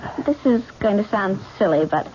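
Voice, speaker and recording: An actress playing a brave, tender, quietly anxious young bride in a 1970s radio drama. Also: female voice, medium pitch, expressive, moderate pace, a little hiss or hum, close to an everyday voice. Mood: earnest, composed. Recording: dull old radio recording with music.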